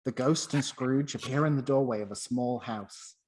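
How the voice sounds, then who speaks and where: A man's voice: mid-range voice, normal speed, darkish tone, slight background noise. A man presenting a scripted talk and narrating a story.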